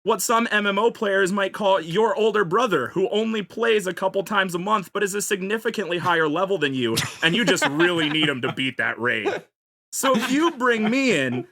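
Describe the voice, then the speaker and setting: A podcast panelist giving an improvised comic pitch, playfully salesmanlike and fond of rhetorical questions. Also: pushed and bright.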